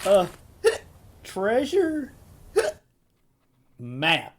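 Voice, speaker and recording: A man's voice: high-pitched, wildly swooping pitch, drawn-out words, background noise, exaggerated voice. A man acting a wry, tippling old-west train passenger with a folksy, needling drawl in an audio drama. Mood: excited.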